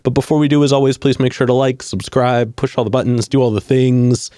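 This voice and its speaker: A man's voice: medium pitch, little pitch movement, a little fast, mellow, no echo, clean studio sound. A man hosting a casual music podcast, upbeat and chummy, with slangy reactions. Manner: upbeat, chummy, slangy ("rad", "hell yeah"). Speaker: podcast host.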